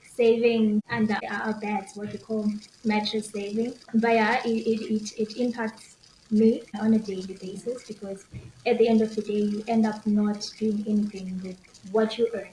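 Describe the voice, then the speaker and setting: A woman's voice: mid-range voice, level tone, normal speed, mellow. A young woman with a Zimbabwean accent, talking casually and conversationally as an interview guest. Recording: echoey room with faint noise.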